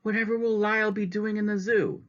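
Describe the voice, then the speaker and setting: A man's voice: high-pitched, animated, moderate pace, voice pushed up. A man voicing a worried, kindly lady anxiously searching and pleading for help, in a slightly dull recording. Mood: miserable.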